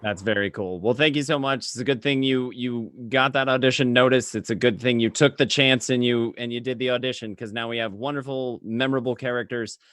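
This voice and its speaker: A man's voice: mid-range voice, steady tone, brisk, few pauses, fairly bright tone, no room sound, studio quality. A man hosting a casual panel interview in an enthusiastic, joking manner. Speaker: show host.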